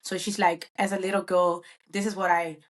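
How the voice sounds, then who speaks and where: A woman's voice: on the low side, flat delivery, normal speed. A bubbly woman. She answers interview questions casually, with frequent ums and uhs. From Netherlands. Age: 20s. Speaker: guest, event planner.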